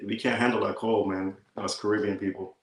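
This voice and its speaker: A man's voice: fairly low pitch, flat delivery, a little fast. A man from the Bahamas, chatting casually and informally as an easygoing podcast guest. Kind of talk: casual chat. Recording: roomy, echoing stream audio.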